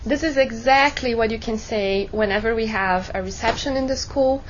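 A woman instructor speaks to her class in an encouraging tone, asking rhetorical questions. Her voice sits a little high. The recording is slightly muffled, with faint noise underneath. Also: normal speed. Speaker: law school instructor. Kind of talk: classroom workshop teaching.

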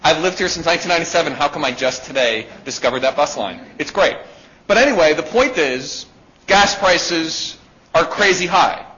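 A man with a loud voice giving a live talk to an audience, emphatic and peppering his points with "right?". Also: fairly high pitch, expressive, moderate pace. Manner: emphatic, with rhetorical "right?" checks. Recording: dull lo-fi recording.

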